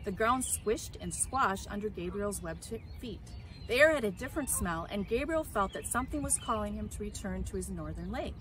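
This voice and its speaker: A woman reading a children's storybook aloud in an expressive, emphatic way. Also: mid-range voice, normal speed. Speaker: story reader. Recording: clear background noise.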